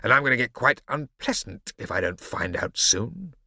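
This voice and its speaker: A man's voice: mid-range voice, big pitch swings, moderate pace, gravelly, pushed and bright, no echo, studio quality, pitched above the performer's own voice, full character voice. A man voicing a burly, menacing red-bearded bully who sneers and roars. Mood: sneering. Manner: sneering, roaring, blustering. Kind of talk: audiobook character voice.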